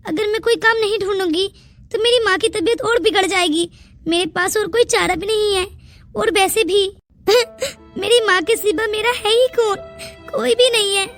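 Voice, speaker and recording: A woman's voice: extremely high pitch, normal speed, fairly bright tone. A woman voicing a poor, plaintive young village woman in an emotional cartoon moral story. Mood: plaintive, resigned. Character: poor, tearful but willing young village woman, Payal. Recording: music behind the dialogue.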